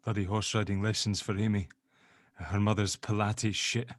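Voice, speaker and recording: A man's voice: on the low side, flat delivery, moderate pace, dry recording, studio quality, close to an everyday voice. An actor playing a nervous, guilt-stricken man who stammers and swears in a dramatic script read-through. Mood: weary, bitter.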